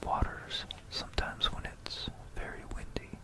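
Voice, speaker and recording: Slow, whispering American ASMR narrator. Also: bright, forceful tone, low-quality recording. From Florida, United States. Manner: musing, unhurried, explanatory. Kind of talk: whispered ASMR history talk. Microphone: close stereo binaural microphone.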